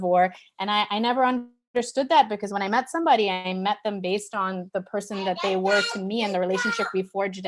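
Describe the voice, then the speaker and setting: A woman's voice: medium pitch, a little hiss or hum. A woman from Pakistan, a panelist introducing herself in a discussion, talking reflectively with frequent "um"s.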